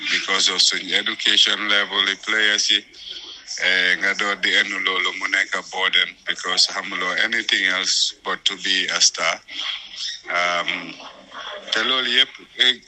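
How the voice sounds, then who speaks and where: A man's voice: low voice, bright, forceful tone, poor audio. A man with a Gambian accent explaining his view in a casual discussion, switching between two languages. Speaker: panel discussion participant. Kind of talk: casual discussion, mixing English and Wolof. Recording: faint background noise, radio-like sound.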